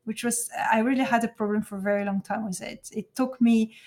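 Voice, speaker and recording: A woman from Belgium with a French accent, a native French speaker, chatting easily as a podcast guest and often laughing or pausing to find her words. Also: on the high side, steady tone, darkish tone. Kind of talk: casual interview chat.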